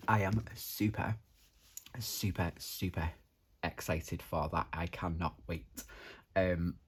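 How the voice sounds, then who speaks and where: Young man, low-pitched and husky, croaky from a night out, chatty colouring-channel host. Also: fairly even intonation, takes it slowly. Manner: chatty, rambling with many "um"s. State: tired, croaky after a night out. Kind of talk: casual chat while colouring.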